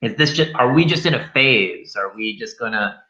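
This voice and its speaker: Male film chat host, mid-pitched, bright and punchy, emphatic slang, slightly dull lo-fi recording. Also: expressive, normal speed. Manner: emphatic, colloquial, swears freely. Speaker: film review show host.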